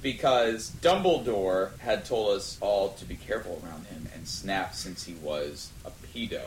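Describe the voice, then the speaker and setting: A man's voice: sits low, unhurried. A man co-hosting a podcast, reading fan fiction aloud in a mock-dramatic way and exclaiming the dialogue. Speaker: podcast co-host. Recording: roomy sound with faint noise.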